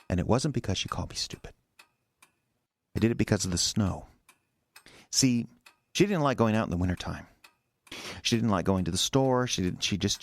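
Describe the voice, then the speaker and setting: An actor in an audio drama playing a resentful, defensive husband who stammers and rambles in a halting, wounded way. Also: male voice, fairly low pitch, creaky. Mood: bitter, agitated. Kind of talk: naturalistic audio drama acting.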